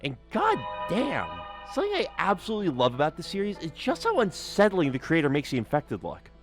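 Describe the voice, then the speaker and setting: A man's voice: high-pitched, wildly swooping pitch, fairly quick, music in the background. A man narrating a scripted video analysis with casual asides, in an emphatic, conversational and informal way. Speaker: video essay host and narrator.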